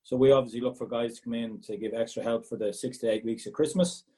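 An Irishman with a Galway accent talks quickly and easily, his voice soft and mellow. He answers questions in a loose, chatty way, often doubling words as he picks his thought back up. He sounds like a former footballer looking back on his work. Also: mid-range voice, fairly even intonation. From Ireland, Galway. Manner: chatty, repeats words when restarting. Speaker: former footballer, regional sales manager. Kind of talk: casual interview answers.

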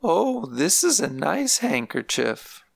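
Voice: mid-range voice, sing-song, slow pace, vocal fry, no room sound, voice pushed up, exaggerated voice. A narrator voicing a frail elderly grandmother in a nursing home, speaking in a plaintive, complaining way. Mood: tearful. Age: older. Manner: plaintive, soft-spoken complaining.

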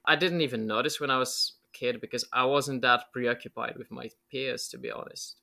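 A man's voice: mid-range voice, fairly even intonation, brisk, creaky, bright, forceful tone. A man, talking casually and reflectively as an interview guest, with lots of filler words. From Poland. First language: Polish. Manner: rambling, reflective, many filler likes.

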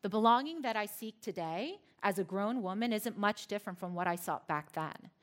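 A woman's voice: mid-range voice, expressive, normal speed. A woman from Philadelphia giving a personal talk to a live audience, telling her story with playful asides to the crowd. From Philadelphia, United States.

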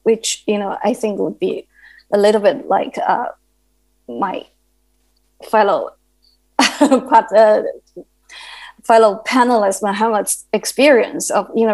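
A woman author, a native Chinese speaker, talking on a festival panel in a thoughtful, halting way with frequent restarts. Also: mid-range voice, a little mellow, poor audio. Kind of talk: panel discussion.

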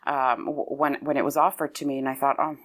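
Low-voiced Lebanese-born woman, thoughtful. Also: steady tone, brisk. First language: Arabic. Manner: thoughtful, restarts often, laughs easily. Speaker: opera singer, guest. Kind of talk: conversational interview.

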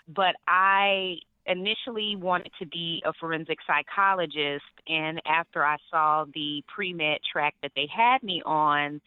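Casting note: young actress, animated storyteller, smooth voice on a tinny phone line. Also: female voice, low voice, no room sound. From Atlanta, Georgia. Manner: lively storytelling, laughs often. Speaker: actress, interview guest. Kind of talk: interview on the phone.

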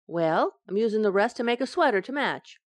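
A woman's voice: a little high, expressive, a little fast, no room sound, lightly acted. A woman playing a scatterbrained, coaxing young wife in an old-time radio sitcom, with a breezy, innocent comic delivery. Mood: breezy, confiding.

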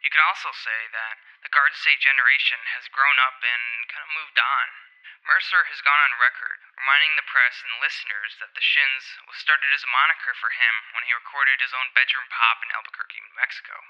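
Very bright-voiced man, scripted commentary. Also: mid-range voice, smooth, dull audio. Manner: articulate, essay-like phrasing. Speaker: music columnist. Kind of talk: scripted opinion commentary, framed as a voice message.

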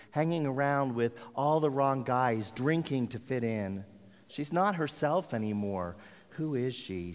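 A male preacher delivers a sermon with earnest, deliberate phrasing. His medium-pitched voice rings through a big hall with long reverb. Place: large hall.

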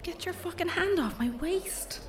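A woman acting in an audio comedy drama as the mischievous, mouthy inner voice of a young woman weary of dating, sardonic, exasperated and quick to swear. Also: extremely high pitch, animated, echoey room, far from the mic, soft background music. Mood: indignant.

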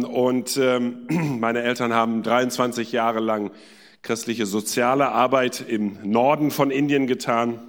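Guest preacher, male, from India, hesitant and informal anecdotal sermon style, heard in a big reverberant hall. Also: mid-range voice. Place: large church hall. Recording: echoey hall with long reverb.

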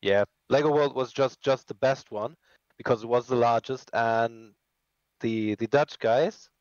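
Dull-sounding young man, medium pitch, casual. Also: fairly even intonation, measured pace, no echo. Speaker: podcast guest, hobby train builder. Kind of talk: casual chat. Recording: dull, dry lo-fi call audio.

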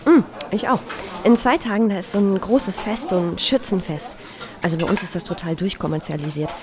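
A woman's voice: medium pitch, fairly quick, a crowd in the background, natural voice. An actress in an audio drama playing a polite young woman visiting a village, speaking in a casual, slightly hesitant conversational way.

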